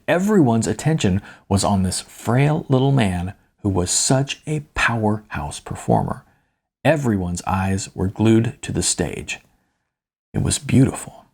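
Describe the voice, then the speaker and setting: A man narrating a first-person story in a wry, colloquial, emphatic storytelling manner, with a slightly husky voice. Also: on the low side, darkish tone. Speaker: author reading own novella.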